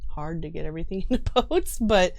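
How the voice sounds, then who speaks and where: A woman's voice: fairly low pitch, expressive, a little fast, creaky, a little hiss or hum. A woman chatting informally on a live stream as a cast member of a tabletop game show, lightly self-deprecating. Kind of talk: casual chat on a live stream.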